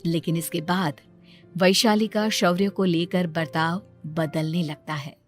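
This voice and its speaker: A woman's voice: lowish voice, steady tone, a little slow. A woman narrating a scripted dramatic story. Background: background music.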